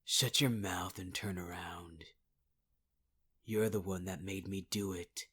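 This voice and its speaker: A man's voice: sits low, steady tone, takes it slowly, fairly bright tone, a little hiss or hum. A man performing a menacing, taunting villain in an ASMR audio roleplay, often half-whispering. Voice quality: husky, half-whispered delivery.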